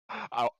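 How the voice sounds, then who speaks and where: A man's voice: a bit bright, dry recording. A man chatting casually as a player in a tabletop roleplaying game.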